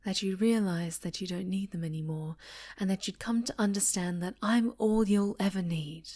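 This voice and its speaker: A woman playing a sinister, possessive female superhero villain in an ASMR roleplay, speaking softly with sweet menace and often whispering. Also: mid-range voice, close to an everyday voice. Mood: possessive, soothing. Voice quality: soft, breathy, often whispered. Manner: slow, sweetly menacing, coaxing.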